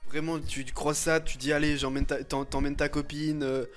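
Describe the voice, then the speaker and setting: Male streamer, twenties, lightish high pitch, casual slangy teasing commentary, faint music underneath. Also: moderate pace. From France. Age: 20s. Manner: slangy, teasing, expressive, laughs often. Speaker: livestreamer reacting to images and chat. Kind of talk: casual chat, livestream commentary.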